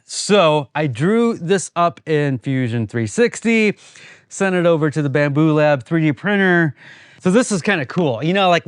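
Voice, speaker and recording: A man's voice: a little high, no echo, studio quality. A man hosting a woodworking vlog, explaining things in an informal, plain-spoken way. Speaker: host of a woodworking channel. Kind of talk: casual workshop vlog narration.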